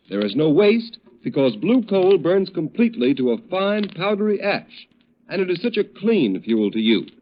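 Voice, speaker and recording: A man announcing a 1930s radio advertisement with polished, persuasive sales delivery. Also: on the high side. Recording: dull old radio recording.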